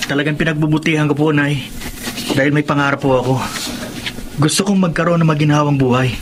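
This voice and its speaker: A fairly high young male voice in a noisy recording , an actor's. He is an ambitious, polite Filipino teenage son in a radio drama, keen and eager. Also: fairly even intonation, fast talker, close to an everyday voice. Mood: eager, pleading.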